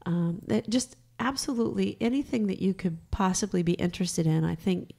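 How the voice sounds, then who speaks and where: A middle-aged woman co-hosting a podcast in casual chat, with a raspy, slightly hoarse voice, frank and quick to laugh. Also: medium pitch, mellow. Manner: chatty, frank, laughs easily. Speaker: podcast co-host.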